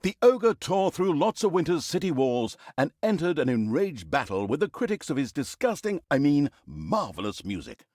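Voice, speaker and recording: A man's voice: on the high side, very animated, normal speed, few pauses, a little mellow, no echo, clean studio sound. A man playing a sarcastic, droll storybook narrator of a villain's exploits in a fantasy video game, with mock-grandiose, theatrical delivery. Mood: dry, mocking.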